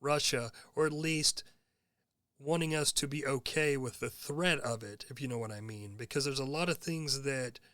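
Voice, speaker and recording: A hoarse man talks alone into the mic as host of his own show, earnest and rambling, with plenty of fillers. His voice sits at an average pitch and is recorded very dry, with no room sound. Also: moderate pace, a bit bright, no echo. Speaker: podcast host. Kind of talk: casual monologue.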